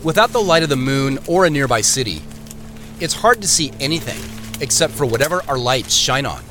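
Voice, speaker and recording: An actor playing the first-person narrator of a mystery audio drama, telling it in a measured, descriptive way. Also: male voice, mid-range voice, animated, bright, forceful tone, faint music behind. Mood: intent, suspenseful.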